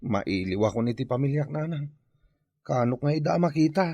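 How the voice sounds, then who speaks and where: A man's voice: medium pitch, lively intonation, normal speed, dark tone. A man with a Filipino Ilocano accent playing a hungry, jobless father who pleads plaintively in a radio comedy drama. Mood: wistful, pleading. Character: hungry, pleading jobless husband and father longing for his family.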